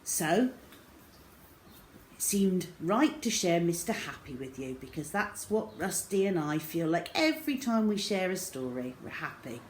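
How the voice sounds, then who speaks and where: A woman reading a children's story aloud as a storyteller, in an expressive, deliberate manner with drawn-out words. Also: lowish voice, slight background noise, poor audio.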